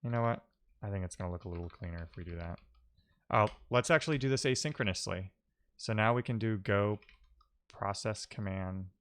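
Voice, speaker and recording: A man streaming live coding, talking casually and haltingly as he thinks aloud and mutters to himself while he works. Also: on the low side, a bit bright, clean tone.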